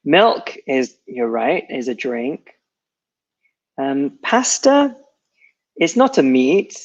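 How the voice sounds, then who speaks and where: A man's voice: medium pitch, sing-song, drawn-out words, lo-fi sound. A man teaching English in a casual online lesson, patiently correcting pronunciation and joking with his co-host.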